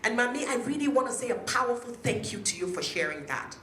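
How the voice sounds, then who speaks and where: A woman's voice: a little high, sing-song, fast talker, a bit bright, slight background noise. Emphatic woman addressing a room. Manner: emphatic, engages the audience with questions. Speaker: speaker at a peace event. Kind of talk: motivational talk to an audience. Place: hotel conference room. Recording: echoey conference room.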